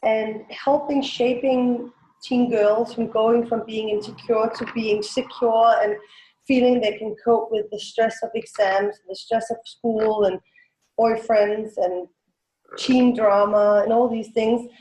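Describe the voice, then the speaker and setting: A woman's voice: a little high, fairly even intonation, takes it slowly, mellow. Danish woman in an echoey room. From Denmark. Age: 40s. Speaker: guest, teen coach and business owner. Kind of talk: casual interview answers. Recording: roomy, echoing sound.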